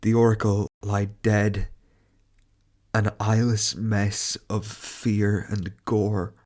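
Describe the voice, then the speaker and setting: A man with a stuffy cold voice narrating a horror story in a measured, slow, dramatic delivery. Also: lowish voice, flat delivery, a little mellow. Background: music.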